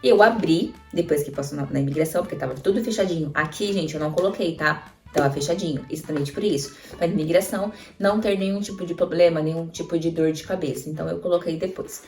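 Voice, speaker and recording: A woman's voice: sits low, fast talker, few pauses, a little mellow. A woman in her thirties with a Brazilian accent, a mother showing travel items in a chatty vlog and talking straight to viewers. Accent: Brazilian Portuguese. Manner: chatty, addresses viewers directly with frequent tag questions. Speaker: mother vlogger. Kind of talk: casual show-and-tell tips. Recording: echoey room, mic at a distance, faint music.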